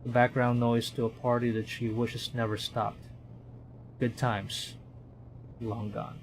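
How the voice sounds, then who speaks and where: A man's voice: medium pitch, level tone, slow, slight background noise. A man from the Philippines, a streamer reading a horror visual novel aloud in a dramatic storytelling manner, with commentary.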